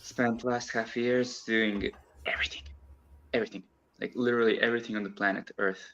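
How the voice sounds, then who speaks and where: A man's voice: lowish voice, fairly even intonation, a little fast, sharp tone, highs cut off. A man from Croatia, a guest on a casual online chat, talking in a chatty way and laughing often as he explains.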